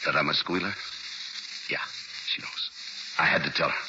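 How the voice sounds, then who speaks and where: A man's voice: a bass voice, steady tone, moderate pace. A man playing a guarded ex-convict turned informer in a 1940s radio drama, with clipped, streetwise talk. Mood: weary. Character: ex-con turned informer, tense young family man. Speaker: lead actor in a radio drama. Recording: old 1940s radio broadcast, hissy and dull.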